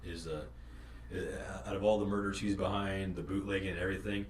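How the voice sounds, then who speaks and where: A man's voice: sits low, flat delivery, brisk, a little hiss or hum. A young adult man hosting a trivia podcast, asking questions hesitantly with many restarts. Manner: hesitant, lots of fillers and restarts. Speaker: podcast host, quizmaster. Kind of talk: casual trivia chat. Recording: roomy echo, faint ambience.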